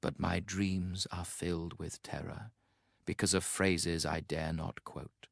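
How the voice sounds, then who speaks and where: A man narrating a horror story in a theatrical, ominous, deliberate way, with a gravelly voice. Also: low voice, little pitch movement, a bit bright, dry recording, clean studio sound. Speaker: audiobook narrator. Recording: music under the voice.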